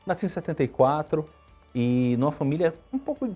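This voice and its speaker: Fast-talking Brazilian man, husky voice. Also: soft background music. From Brazil (Salvador, Bahia). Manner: quick, storytelling, lively pitch swings. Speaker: interview guest, IT executive. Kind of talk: conversational interview answers.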